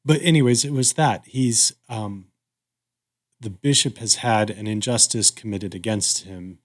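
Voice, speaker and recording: Lower-voiced man, thoughtful and agreeable. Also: measured pace. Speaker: podcast co-host. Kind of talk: casual discussion.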